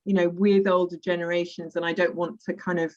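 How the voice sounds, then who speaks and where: A woman poet answering questions in an online session, speaking in a thoughtful, measured way with frequent pauses. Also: lowish voice, flat delivery, darkish tone. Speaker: poet and workshop leader. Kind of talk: online talk and Q&A. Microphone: video call. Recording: lo-fi video call audio.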